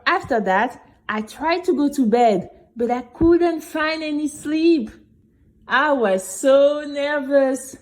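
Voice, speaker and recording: A woman playing a nervous, chatty young girl who tells her story in a conversational, expressive way. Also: sits high, slow pace. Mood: confiding. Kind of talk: first-person scripted audio drama narration.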